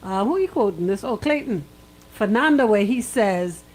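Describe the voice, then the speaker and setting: A woman's voice: mid-range voice, animated, moderate pace, darkish tone, poor audio. A woman with a Bahamian accent co-hosting radio, giving opinionated banter and repeating phrases for emphasis. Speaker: radio co-host.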